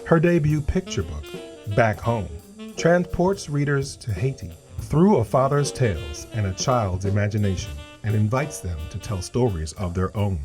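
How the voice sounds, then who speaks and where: A male announcer delivers a polished, scripted introduction. His pitch rises and falls a lot as he stresses each item in a list. Gentle guitar music plays faintly underneath. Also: lively intonation, moderate pace.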